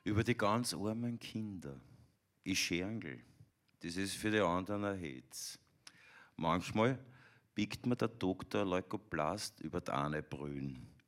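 A man reading a poem in the voice of a limping young boy, in slow, thick dialect. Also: sits low, fairly bright tone. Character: limping young boy telling his woes. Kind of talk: poetry reading.

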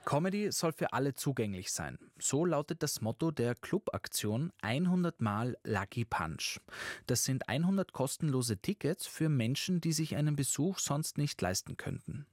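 A man reading a scripted news voice-over in an even, measured way. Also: medium pitch, dry recording, studio quality. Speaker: news reporter. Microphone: studio microphone.

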